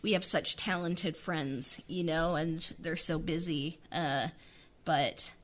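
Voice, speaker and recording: A woman's voice: deep voice, fairly even intonation, slow pace, a bit bright. A woman chatting casually on a podcast as a writer-director, informal and hesitant in the way she talks. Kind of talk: casual interview chat.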